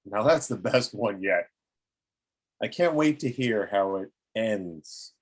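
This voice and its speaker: A man playing a menacing, obsessive old explorer in a table read, theatrical and deliberate, with a husky voice. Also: on the low side, expressive. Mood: coldly menacing.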